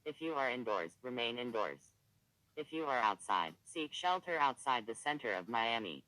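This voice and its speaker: A man's voice: medium pitch, big pitch swings, moderate pace, sharp tone, dry recording, low-quality recording. A synthetic male voice reading a formal, scripted emergency broadcast announcement as an alert announcer.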